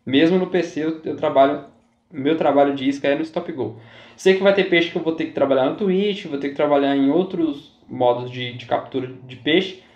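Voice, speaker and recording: A chatty man with a slightly high voice talks casually through his gameplay tips. He repeats himself and keeps checking in with his viewers. His voice carries a noticeable roomy echo. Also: normal speed, darkish tone, highs cut off. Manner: chatty, repeats himself, says "galera" and "tá?" often. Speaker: gaming YouTuber.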